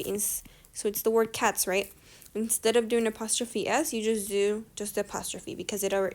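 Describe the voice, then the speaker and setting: A young woman teaching a grammar lesson over on-screen slides, explaining rules and often correcting herself as she goes. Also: medium pitch. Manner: talks through slides, frequent self-corrections. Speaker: tutor.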